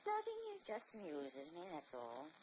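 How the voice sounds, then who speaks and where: Taunting temptress sculptor, low whispery purr, old radio drama, dull hissy old recording. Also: female voice, huge pitch swings, normal speed. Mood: mocking. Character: cruel mocking female sculptor, cold temptress.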